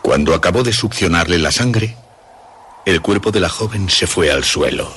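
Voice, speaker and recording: A man with a Castilian Spanish accent playing the grave narrator of a vampire tale in a radio drama, dramatic and deliberate. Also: sits low, expressive, a bit bright, a little hiss or hum. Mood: ominous. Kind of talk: scripted radio horror narration.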